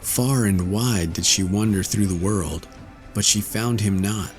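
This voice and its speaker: A man reading a classic fairy tale as an audiobook narrator, in a measured storytelling manner. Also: fairly low pitch, soft background music.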